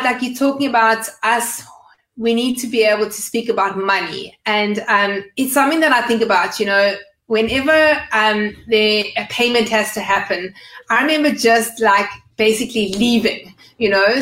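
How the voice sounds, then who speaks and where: A woman's voice: fairly high pitch, fairly bright tone. Chatty woman hosting, roomy sound. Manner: chatty, frequent fillers like um. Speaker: podcast host. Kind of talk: hosting a live video chat. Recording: roomy live-stream audio.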